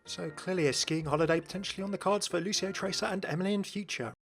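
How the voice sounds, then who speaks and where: A man's voice: sits high, quick pace, gravelly. A man hosting informal scripted video game commentary. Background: faint background music.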